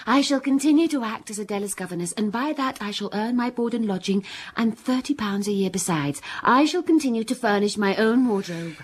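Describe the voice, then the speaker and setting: Proud young governess, earnest and teasing, slightly high voice, period radio drama. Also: female voice, lively intonation, a little fast. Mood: spirited. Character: young woman governess, proud, plain-spoken, loving.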